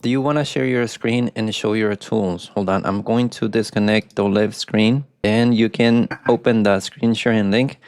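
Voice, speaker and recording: A man's voice: lowish voice, fairly even intonation, moderate pace, hardly any pauses, a little mellow, no echo. A man hosting a podcast, easygoing and fond of joking with his guests. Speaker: podcast host. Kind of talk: casual podcast conversation.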